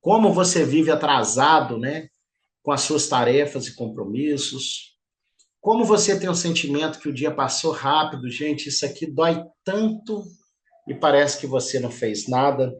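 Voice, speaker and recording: A man's voice: on the high side, expressive, normal speed. A middle-aged man teaching a live online class, chatty and informal, often checking in with "tá?". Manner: chatty, informal, peppers speech with "tá?". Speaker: instructor. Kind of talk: live online class, lecturing and questioning students.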